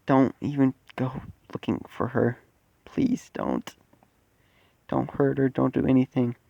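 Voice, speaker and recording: A man's voice: a little high, steady tone, normal speed, no echo, highs cut off, natural voice. A man voicing a frightened, distraught teenage boy, pleading and repeating himself in a muted way.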